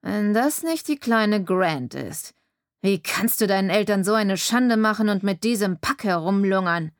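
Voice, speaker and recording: A bullying, heavyset male sheriff, put on by a woman reading the story aloud, menaces and scorns in a squashed mumble, his mouth barely open. He sits a touch above an ordinary talking voice and comes across loud and overbearing. The room around him is dry and close. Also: mid-range voice, lively intonation, measured pace, dry recording. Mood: scornful. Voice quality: speaks through barely opened mouth.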